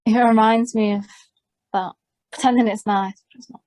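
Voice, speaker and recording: A woman's voice: a little high, fairly even intonation, normal speed, darkish tone. A woman taking part in a casual podcast chat, speaking wryly and plainly.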